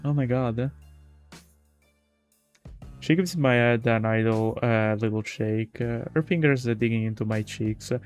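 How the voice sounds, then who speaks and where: A man's voice: medium pitch, darkish tone. A man streaming a game, reading a visual novel aloud with casual commentary and often stumbling over words. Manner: halting, stumbles and repeats words while reading. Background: game music.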